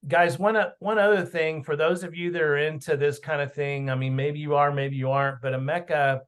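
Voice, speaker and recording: A man's voice: on the high side, steady tone, a bit bright, clear, resonant tone. A man leading a team video meeting, chatting in a rambling way, telling stories and calling on team members. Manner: chatty, rambling, quick to praise. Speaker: team leader hosting a meeting. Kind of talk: casual meeting chat. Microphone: video call.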